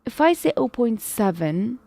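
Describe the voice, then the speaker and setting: Slow-talking woman with a dark gravelly voice. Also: on the high side, very animated, no echo. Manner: talks to chat, muses and second-guesses herself. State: feels her voice is going. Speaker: live streamer. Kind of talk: thinking aloud while solving math problems.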